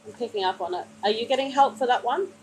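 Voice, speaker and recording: A woman's voice: a little high, fast talker, soft background music, poor audio. A woman reading tarot cards for a viewer during a live stream, soothing and meandering, calling the listener honey, speaking into a phone mic. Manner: meandering, many fillers and restarts. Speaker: tarot card reader.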